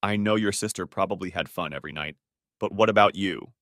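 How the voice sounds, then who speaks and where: A man's voice: low-pitched, a little fast, a bit bright, no echo, studio quality, pitched below the performer's own voice. Man as a sarcastic, wounded husband. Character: Steve, sarcastic, wounded husband needling his wife. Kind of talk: audiobook-style dramatic reading of dialogue.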